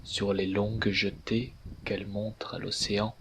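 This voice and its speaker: Guy reading a poem out loud, lowish voice, pretty flat, measured and declamatory, bit of noise behind. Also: poor audio. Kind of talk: poetry recitation, scripted.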